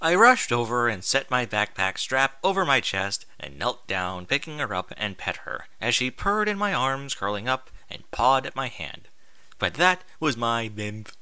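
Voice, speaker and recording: A man's voice: mid-range voice, sing-song, unhurried, sharp tone, clean tone, no echo. A man reading a story aloud with exasperated, sarcastic, sweary commentary. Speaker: host reading and mocking amateur fan fiction aloud.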